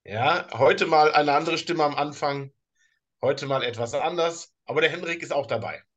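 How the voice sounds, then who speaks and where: A man's voice: a little high, a bit bright. A man with a German accent co-hosting a casual sports podcast, talking hesitantly with restarts. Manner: hesitant, many fillers and restarts, slow in places. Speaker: podcast co-host.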